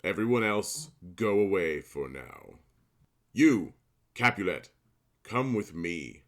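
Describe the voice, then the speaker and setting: A man voices a stern prince laying down the law in an audio play. He speaks low and slowly with firm authority. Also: big pitch swings, full character voice. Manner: authoritative, scolding. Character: stern commanding prince, male ruler. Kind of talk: audio drama acting.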